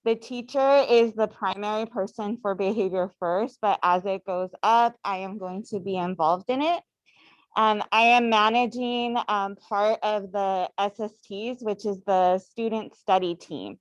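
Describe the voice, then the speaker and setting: A woman's voice: mid-range voice, fairly even intonation, unhurried, dry recording. A woman, a math coordinator and teacher, introducing her new duties to parents in a plain, matter-of-fact way. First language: English. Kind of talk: staff introduction. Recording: slightly dull dry sound.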